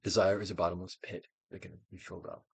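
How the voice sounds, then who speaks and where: A man reading a book aloud as a theatrical dramatic performance, in a solemn, deliberate, declamatory manner. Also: deep voice, level tone, gravelly, husky, no room sound. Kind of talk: dramatic audiobook reading, theatrical Shakespearean delivery.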